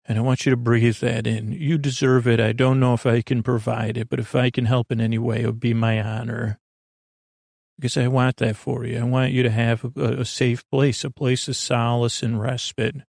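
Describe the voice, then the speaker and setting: A man with a creaky voice hosting a bedtime podcast in a gentle, meandering conversational way, reassuring and often interrupting himself with tangents. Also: mid-range voice, level tone, normal speed, dry recording, clean studio sound. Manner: meandering, hesitant, full of tangents and self-interruptions. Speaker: podcast host.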